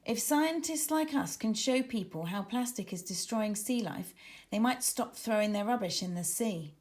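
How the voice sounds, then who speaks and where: A calm boy marine biologist in a children's storybook, read by a woman. He sounds reassuring and explains things in an earnest, orderly way. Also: on the high side, normal speed, natural voice. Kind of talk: children's storybook character reading.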